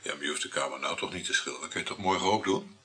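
Married lover, radio drama: low, husky, insecure, probing and jealous in intimate dialogue. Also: male voice, very fast, sharp tone, exaggerated voice. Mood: subdued, earnest.

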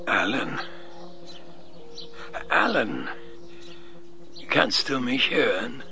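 A man acting in a radio play as a calm, controlling scientist with a husky, gravelly voice and a measured, deliberate way of talking. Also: mid-range voice, a little hiss or hum, full character voice. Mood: calm, probing. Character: calm, controlling male scientist interrogating an artificial mind. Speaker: radio drama actor.

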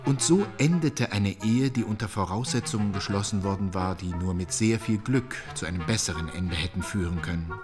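Actor as stern male narrator, period radio drama, low voice, judging tone, clear instrumental music behind. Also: brisk, hardly any pauses, lightly acted. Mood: stern, deliberate. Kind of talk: literary audio drama narration.

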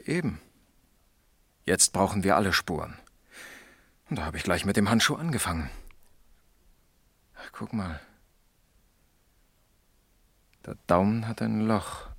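An actor playing an earnest young junior police detective in a German crime radio play, keenly reporting findings and thinking aloud. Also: male voice, deep voice, lively intonation, a little slow, vocal fry, pauses often, a bit bright, breathy edge, no room sound, full character voice. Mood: eager, triumphant.

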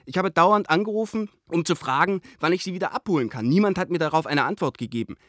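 An actor playing an embittered, indignant painter, venting a letter aloud in an agitated, sardonic and articulate way for a radio play. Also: male voice, fairly high pitch, animated, quick pace, fairly bright tone, dry recording, studio quality. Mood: indignant, bitter.

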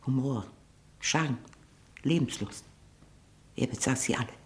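An actress playing a haughty, sharp-tongued old aunt in a 1960 German radio drama, probing and disdainful, living on her memories. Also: rumbling low, a little slow. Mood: nostalgic, proud.

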